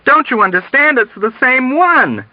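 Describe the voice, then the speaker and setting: A man voicing a crazed, frantic wounded soldier who shouts emphatically in an audiobook story. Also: very high-pitched, expressive, moderate pace, no room sound, muffled recording, voice pushed up. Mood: crazed, emphatic. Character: frantic wounded soldier Dunbar, crying out in horror.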